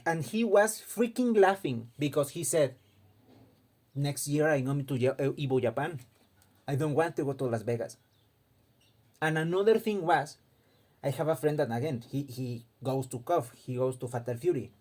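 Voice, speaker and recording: A man's voice: a little high, animated, moderate pace, darkish tone. Impassioned man. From Mexico. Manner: impassioned, repeats words while searching for them. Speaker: fighting game commentator. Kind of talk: casual opinion monologue.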